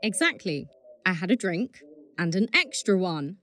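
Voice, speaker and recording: A woman's voice: fairly low pitch, big pitch swings, unhurried, a bit bright. A woman playing an acid-tongued, impatient political staffer in a comedy drama. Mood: dry, sour. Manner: cutting, sarcastic, impatient. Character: acid-tongued, foul-mouthed female political staffer, scornful. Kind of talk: fast comedy audio drama dialogue.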